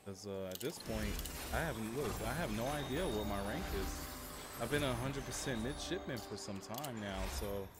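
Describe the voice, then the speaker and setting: A man's voice: mid-range voice, normal speed, heavy background noise. A man live streaming a space flight game, chatting casually with viewers and giving short voice commands. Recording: loud music over the voice. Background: loud music, vehicle engine sounds from the game.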